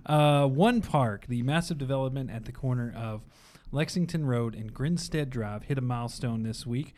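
A man's voice: medium pitch, moderate pace, darkish tone. A man hosting a casual panel discussion, chatty and self-deprecating. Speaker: host.

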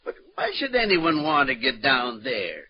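An actor playing a cheeky, talkative theater stage-door attendant in 1940s radio crime drama, with colloquial wisecracks. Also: male voice, medium pitch, huge pitch swings, a little slow. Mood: chatty, puzzled. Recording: dull old radio recording.

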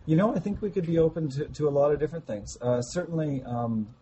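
A man with a slightly hoarse voice from a cold, talking about his startup in a halting, enthusiastic way during an interview call. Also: on the high side, warm tone, slight background noise. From Canada. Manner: halting, many restarts, enthusiastic. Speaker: startup founder guest. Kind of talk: casual interview over a call.

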